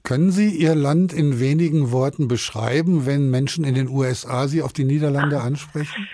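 A male radio host presenting and interviewing guests with crisp, scripted introductions. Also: medium pitch, normal speed, warm tone, dry recording.

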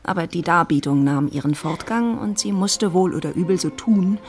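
A woman giving measured, composed literary narration in a radio play. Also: fairly low pitch, a little mellow, close to an everyday voice.

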